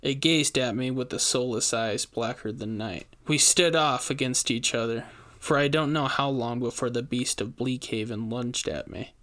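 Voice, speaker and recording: Guy reading a scary story as the main character, scripted narration, medium pitch voice. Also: moderate pace, a bit bright. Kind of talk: scripted first-person horror narration.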